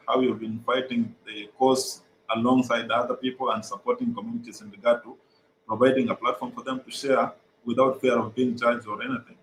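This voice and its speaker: A young Kenyan man whose first language is Swahili, earnestly explaining his organization's programs over a video call, with frequent "uh" pauses. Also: mid-range voice, echoey room, a little hiss or hum, poor audio. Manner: earnest, many "uh" pauses, repeats phrases. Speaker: guest, mental health organization co-founder.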